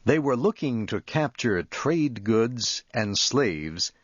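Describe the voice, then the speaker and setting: A man narrates an educational script at a very slow, measured pace, pronouncing each phrase with care for learners. His pitch sits in the middle range for a man. The recording is dry and somewhat muffled. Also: expressive, dry recording. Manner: deliberate, clearly enunciated, measured phrasing. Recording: muffled lo-fi audio.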